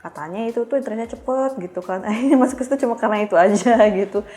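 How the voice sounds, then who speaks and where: A woman's voice: fairly high pitch, rapid-fire, mellow. A young woman, chatting candidly and self-deprecatingly as she tells her own story as a podcast guest. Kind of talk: casual personal storytelling.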